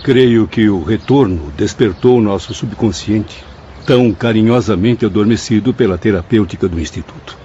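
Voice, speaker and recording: Mellow, scholarly professor played by a man. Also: fairly low pitch, close to an everyday voice. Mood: thoughtful. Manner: measured, erudite, formal. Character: scholarly male professor spirit, sober loyal friend. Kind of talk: radio drama acting. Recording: dull lo-fi audio, faint background noise.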